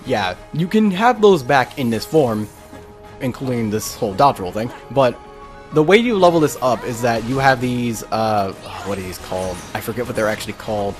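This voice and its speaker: Young male let's player, mid-pitched and expressive, rambling, exasperated, chatting over gameplay. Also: moderate pace. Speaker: gaming video host. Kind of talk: casual let's play commentary. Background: game music.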